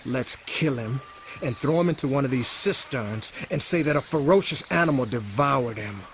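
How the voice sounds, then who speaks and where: A man's voice: medium pitch, animated, normal speed, a bit bright, a little hiss or hum. A man playing a jealous, murderous shepherd brother in an audio Bible drama, with a sneering, menacing manner. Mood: sneering, plotting.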